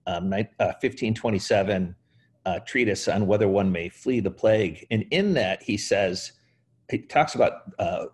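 Husky middle-aged guy on a headset from home, low voice, thoughtful. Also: moderate pace. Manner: thoughtful, quotes sources at length. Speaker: podcast co-host discussing preaching. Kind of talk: casual podcast discussion. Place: home room over a video call. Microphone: headset mic.

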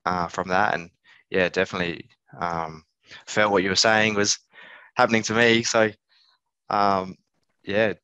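A man in his thirties, a business owner giving casual, halting interview answers, thinking aloud. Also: low-pitched, pushed and bright, dry recording. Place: small office on a video call. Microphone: headset. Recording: slightly dull video-call audio.

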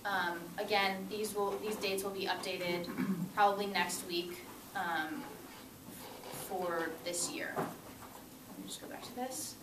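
A woman's voice: mid-range voice, fairly even intonation, slow. A young woman in her twenties, a student group co-president, giving a chatty, upbeat, informal talk to students in a lecture room. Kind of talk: casual presentation. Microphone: far camera. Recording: echoey room, far camera, clear room noise.

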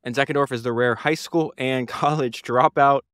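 A man's voice: mid-range voice, steady tone, normal speed, a bit bright, clean tone, no room sound, studio quality. A man, hosting a solo podcast and talking through a book in an earnest, rambling way with many fillers and restarts. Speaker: podcast host.

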